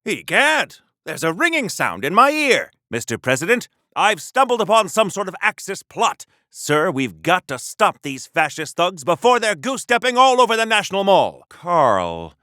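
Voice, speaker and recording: An actor playing an earnest, pompous 1930s American superhero in a theatrical old-time radio serial style for a video game. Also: male voice, high-pitched, huge pitch swings, normal speed, hardly any pauses, pushed and bright, no room sound, studio quality, heavily acted. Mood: heroic, indignant, then earnest, confiding. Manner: theatrical, pompous, old-fashioned patriotic announcer delivery. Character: earnest, bombastic 1930s American male golden-age superhero. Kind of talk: 1930s-40s radio serial hero acting.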